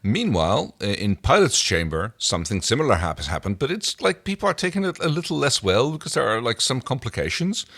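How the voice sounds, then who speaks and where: A man's voice: medium pitch, very animated, brisk, creaky, few pauses, a bit bright. A man co-hosting a podcast, talking through an episode recap in a casual, conversational style. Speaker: podcast co-host.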